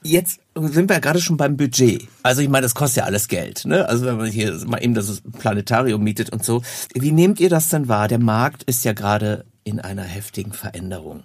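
A man with a husky, mid-pitched voice works as an interviewer, putting questions informally and conversationally. The sound is dry, without audible room. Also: animated, brisk, few pauses, no echo.

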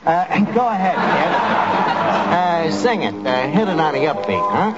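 An actor playing a boastful, slangy tavern manager with a New York accent in a 1940s radio comedy. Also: male voice, on the high side, lively intonation, measured pace, over loud music. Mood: breezy. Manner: slangy, mangles his words. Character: boastful, bluffing wisecracking male tavern manager. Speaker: comic lead of a radio sitcom. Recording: muffled, noisy 1940s radio recording.

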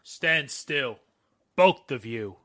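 Menacing American henchman, forties radio crime drama. Slangy sneer, hard bright edge, swooping delivery. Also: medium pitch, expressive, extremely slow. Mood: threatening. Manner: sneering, slangy tough-guy talk. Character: menacing 1940s gangster henchman with a gun. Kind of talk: 1940s radio drama acting.

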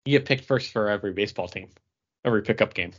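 A man's voice: fairly low pitch, rapid-fire, fairly bright tone, dull audio. A man co-hosting a podcast, talking in a casual conversational style. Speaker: podcast co-host. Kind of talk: casual chat.

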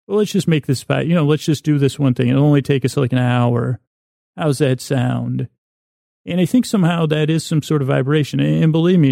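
A man hosting a sleep podcast in a soothing, meandering monologue, halting often, repeating words and interrupting himself, with a gravelly voice. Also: mid-range voice, mellow, dry recording, clean studio sound. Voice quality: gravelly, creaky. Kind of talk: rambling, soothing bedtime monologue.

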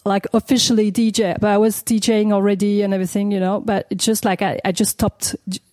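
A mellow, warm-toned woman talks through her answers in a relaxed interview. She often restarts her sentences and laughs lightly as she searches for words. The sound is dry and close, with no room around her. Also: mid-range voice, fast talker, no echo. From France (Paris). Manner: hesitant, many restarts, laughs between phrases. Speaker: guest, DJ and producer.

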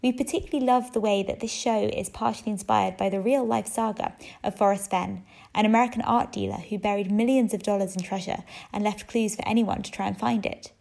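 A woman's voice: medium pitch, steady tone, brisk, gravelly, hardly any pauses, darkish tone. A woman hosting a podcast, reading a scripted announcement that introduces a show to listeners.

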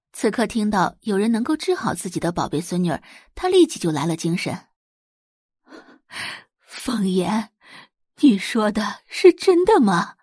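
A woman's voice: mid-range voice, darkish tone, breathy edge, dry recording, studio quality. A woman narrating a scripted audio drama as a storyteller, in a dramatic reading style.

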